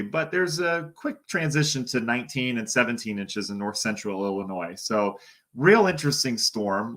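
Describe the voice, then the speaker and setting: A hoarse man giving a casual live-streamed weather briefing, thinking aloud as he talks through forecast maps. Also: medium pitch, a bit bright. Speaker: TV meteorologist.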